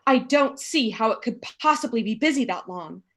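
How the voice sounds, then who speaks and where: A woman's voice: fairly high pitch, expressive, moderate pace, a bit bright. A woman playing a nervous, frail, imperious housewife in a radio play, fretful and dramatic. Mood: fretful, impatient.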